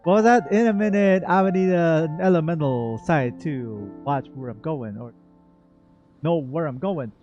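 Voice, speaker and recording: A male gaming YouTuber with a fairly high voice, reacting casually as he plays. He is goofy and theatrical, rambles and slips into sing-song, with faint game music behind him. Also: unhurried, darkish tone, dull audio. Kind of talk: casual playthrough reaction commentary.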